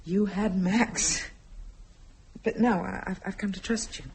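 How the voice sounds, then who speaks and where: An actress playing an anxious wife confiding her fears haltingly and intensely in a radio play. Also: medium pitch, a little mellow, slightly rough, rough recording. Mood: pleading.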